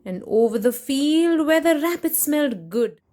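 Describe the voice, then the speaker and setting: High, lilting woman reading to children. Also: unhurried. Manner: animated, addresses children directly with questions. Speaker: storybook reader for children. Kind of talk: expressive children's read-aloud with asides to the listeners.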